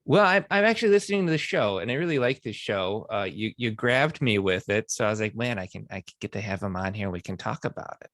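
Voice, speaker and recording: A man's voice: fairly low pitch, lively intonation, quick pace, hardly any pauses, a bit bright, dry recording. A man hosting a screenwriting podcast, upbeat and chatty with frequent restarts. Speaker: podcast host. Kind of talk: casual interview chat with ad read.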